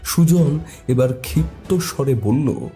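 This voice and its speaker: A man narrating a scripted horror story in a suspenseful, deliberate, dramatic way. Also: mid-range voice, lively intonation, mellow, faint music behind.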